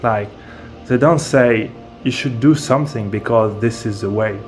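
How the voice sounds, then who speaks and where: Slow-speaking man. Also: medium pitch, faint music behind. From France. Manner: slow, hesitant, searching for words. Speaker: interview guest, medium and author. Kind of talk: casual interview talk.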